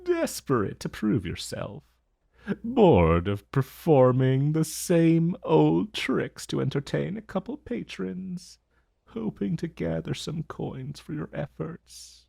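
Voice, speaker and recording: Man voicing a slow, haughty ancient dragon. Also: fairly high pitch, sing-song, gravelly, mellow, breathy edge, dry recording, clean studio sound, exaggerated voice. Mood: haughty, probing. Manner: slow, theatrical, condescending purr with mocking endearments. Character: ancient male dragon in human form, haughty, teasing, vaguely menacing. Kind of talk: fantasy ASMR roleplay audio, addressing the listener.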